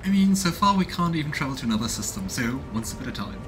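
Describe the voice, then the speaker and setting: A man's voice: fairly high pitch, big pitch swings, fast. A man in his thirties hosting a let's play, musing casually and reading game text aloud. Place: small home room indoors. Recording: noisy, game ambience behind voice. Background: video game ambience.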